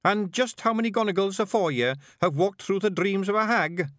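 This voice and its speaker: A man voicing a tiny, commanding male fairy-folk leader with a forceful Scottish accent in a storybook. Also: sits high, fairly quick, no echo, studio quality, pitched above the performer's own voice. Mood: encouraging. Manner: forceful, urgent, plain-spoken. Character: tiny gruff male Feegle leader, commanding, fiercely protective.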